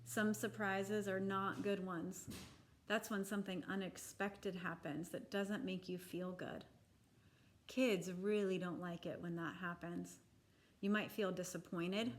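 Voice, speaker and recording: A woman reading a children's picture book aloud in a deliberate, expressive, instructive way for young listeners. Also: mid-range voice.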